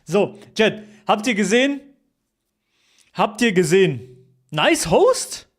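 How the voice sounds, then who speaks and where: Chatty young streamer, slightly high voice. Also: male voice, big pitch swings, slow pace. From Switzerland. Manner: chatty, jokey, repeats himself, swears at chat. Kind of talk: casual livestream chat with viewers.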